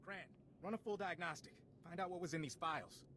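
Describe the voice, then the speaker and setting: An actor playing a brisk male security agent commander in a video game, giving urgent, businesslike orders. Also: high voice, pushed and bright, slight background noise.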